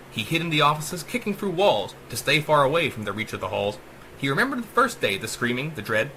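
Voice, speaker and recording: A man's voice: medium pitch, bright, forceful tone, slight background noise, rough recording. A man giving a dramatic, theatrical audiobook narration of horror verse, with a sing-song rhyming cadence. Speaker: author reading own story.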